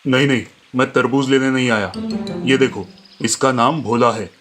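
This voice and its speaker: A man's voice: medium pitch, fairly even intonation, normal speed, a bit bright, faint music behind, pitched below the performer's own voice, natural voice. A man voicing an arrogant, domineering village strongman who threatens people in a cartoon story. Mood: threatening. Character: arrogant bullying village strongman, adult male.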